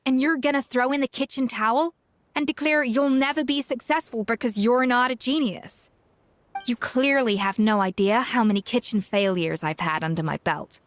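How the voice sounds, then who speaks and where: High-voiced, proud, demanding chef played by a woman. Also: no room sound, lightly acted. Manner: forceful, scolding, emphatic. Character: proud, demanding former head chef woman, blunt mentor. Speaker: voice actor. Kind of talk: video game cutscene acting.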